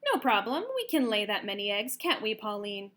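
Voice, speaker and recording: A woman voicing a kindly, excitable farm woman from a storybook, gushing and exclamatory. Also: medium pitch, normal speed. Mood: eager.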